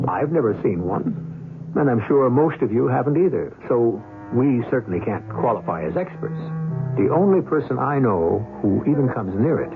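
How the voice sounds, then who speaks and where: A man hosting a radio drama with measured, theatrical scripted narration. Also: mid-range voice. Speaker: host and narrator. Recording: narrow-band old radio recording. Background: music.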